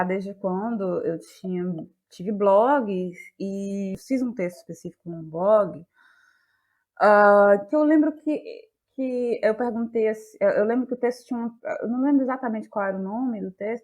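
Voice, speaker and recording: Bahian woman, reflective interview guest. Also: mid-range voice. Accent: Brazilian, Bahian. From Bahia, Brazil. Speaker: guest, playwright and actress. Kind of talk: conversational interview answers.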